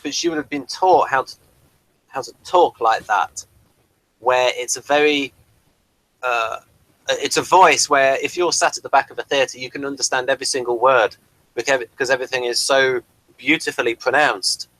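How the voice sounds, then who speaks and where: A man with an English accent explaining things in casual discussion, often halting and rephrasing as he goes. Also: mid-range voice, lively intonation, a bit bright, no room sound, low-quality recording. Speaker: podcast reviewer.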